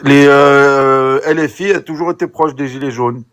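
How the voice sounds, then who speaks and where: A man's voice: medium pitch, steady tone, moderate pace, a bit bright. A man in a heated live political argument, insistent and interrupting, with many hesitations and restarts. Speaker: live debate participant.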